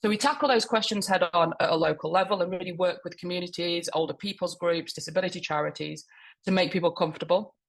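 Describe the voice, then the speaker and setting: A woman with a croaky voice from a cold, speaking fluently on a webinar panel about her public affairs work. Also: fairly low pitch, fast talker. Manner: fluent, corporate explaining. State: hoarse with a cold.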